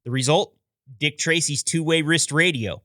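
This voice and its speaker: A man's voice: a little high, normal speed, loud music behind the voice. A man hosting a podcast, reading a scripted history narration in an upbeat storytelling manner with wry asides. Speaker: podcast host.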